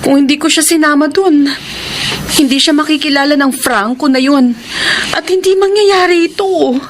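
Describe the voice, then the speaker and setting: An actress playing a loyal best friend who speaks earnestly and persuasively in a radio drama. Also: female voice, high voice, moderate pace, slight background noise, close to an everyday voice. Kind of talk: classic radio drama acting.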